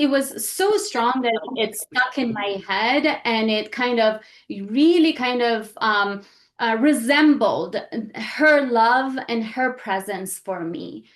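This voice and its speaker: Higher-voiced woman leading a group talk. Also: a bit bright. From Iran. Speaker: speaker leading a group session.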